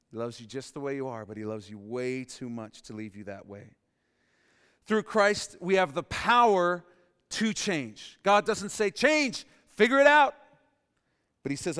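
A man preaching a sermon, emphatic, repeating key lines and dropping to a softer voice for emphasis. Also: mid-range voice, clean tone. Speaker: pastor. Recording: long reverb in a large hall.

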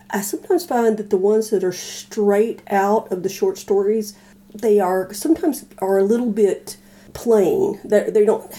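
Very mellow, dark-voiced woman co-host. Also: medium pitch. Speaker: podcast co-host. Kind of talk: casual chat.

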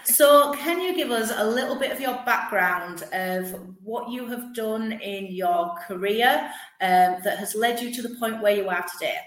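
An upbeat woman hosts a casual podcast interview and keeps things moving briskly. Her voice sits a little high, and it carries an echo from the room around her. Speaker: podcast host. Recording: echoey room.

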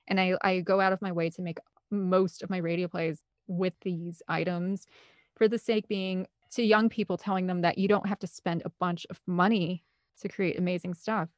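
A woman's voice: on the low side, dry recording. Chatty, enthusiastic woman, dry close sound. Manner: talkative, gushing, rambling. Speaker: interview guest, film composer and director. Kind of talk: casual enthusiastic chat.

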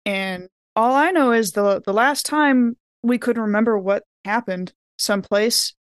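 A woman's voice: fairly high pitch, measured pace, dry recording, clean studio sound. Casual woman playing a tabletop game. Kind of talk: casual in-character banter.

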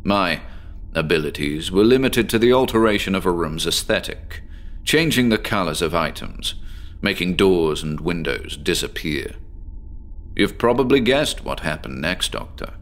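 A man playing an eccentric, sleepless professor who confides his obsession in a measured, articulate, formal way, as a horror audio drama monologue. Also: sits low, lively intonation, a bit bright. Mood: unsettled, grave, then confiding, intense. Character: eccentric male insomniac professor, obsessive, confiding, recounting strange experiments.

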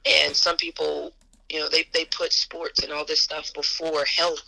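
Hoarse lady coach, gravelly, pretty flat delivery, earnest and repeating herself. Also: low-pitched, measured pace, low-quality recording. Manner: earnest, faith-driven, repeats phrases for emphasis. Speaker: assistant basketball coach. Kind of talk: casual interview answers.

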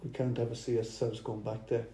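A man, a church planter, talking over dinner in a thoughtful, halting way. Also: medium pitch, level tone, warm tone. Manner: halting, thoughtful, restarts phrases. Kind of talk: casual dinner table chat. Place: dining room. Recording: roomy with faint noise.

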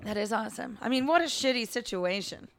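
A woman co-hosting a casual comedy podcast, telling stories and slipping in deadpan joke bits. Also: on the high side, fairly quick, gravelly. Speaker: podcast co-host.

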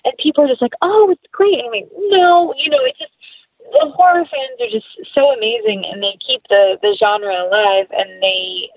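A woman's voice: fairly high pitch, moderate pace, no echo, phone-quality sound. A woman speaking as an actress being interviewed, chatting casually and animatedly with quoted asides, over a thin remote call.

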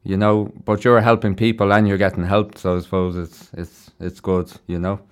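A man's voice: low-pitched, little pitch movement, normal speed. A man with an Irish accent hosting a casual radio interview in a studio, chatty and encouraging. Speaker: radio show host.

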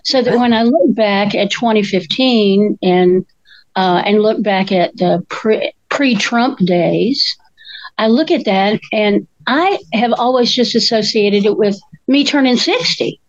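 A woman, co-hosting a casual chat in a slow, thoughtful way. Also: mid-range voice, no echo, lo-fi sound. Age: 60s. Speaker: co-host.

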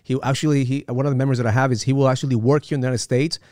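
A man from Ecuador hosting a podcast interview, animated and often repeating words as he starts sentences. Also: medium pitch, racing, dry recording, studio quality. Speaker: podcast host. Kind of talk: casual interview.

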